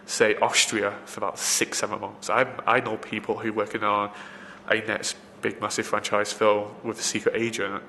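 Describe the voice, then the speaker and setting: Man, low flat voice, rambling with fillers, roomy reverb, faint hiss. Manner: rambling, frequent fillers and restarts. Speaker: film location assistant. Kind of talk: casual interview answers. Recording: reverberant room, faint background noise.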